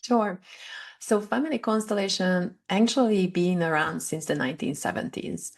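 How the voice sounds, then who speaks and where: A woman with a Hungarian accent, a guest on a podcast, explaining her practice in a measured way with occasional hesitations. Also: fairly low pitch, creaky. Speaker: guest, family constellation facilitator. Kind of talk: conversational podcast interview.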